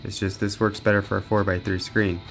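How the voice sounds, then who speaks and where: A man's voice: fairly low pitch, level tone, brisk, soft background music. A man giving casual, off-the-cuff commentary as he tests gaming gear, muttering to himself as he works. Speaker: gaming handheld reviewer.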